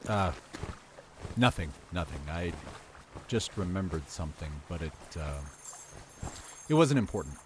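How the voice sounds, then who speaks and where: A man playing an earnest, hesitant adventurer in a fantasy audio drama, speaking in a halting, polite way. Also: low voice, lively intonation, background noise. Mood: hesitant, distracted. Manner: halting, apologetic. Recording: clear water ambience behind voice.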